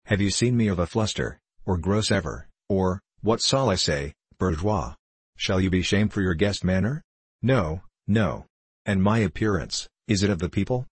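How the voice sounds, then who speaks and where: A man's voice: sits low, moderate pace, husky, dry recording. A man voicing a young French gambler in an audiobook, with a French accent and broken English, courtly, taunting and self-assured. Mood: gaily boastful. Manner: broken English, courtly, theatrical chuckling asides. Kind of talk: audiobook character voice.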